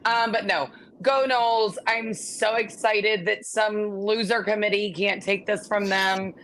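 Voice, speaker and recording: A woman's voice: mid-range voice, steady tone, a little slow, fairly bright tone, rough recording. A woman co-hosting a casual sports chat, talking as an enthusiastic, gushing fan. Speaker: co-host.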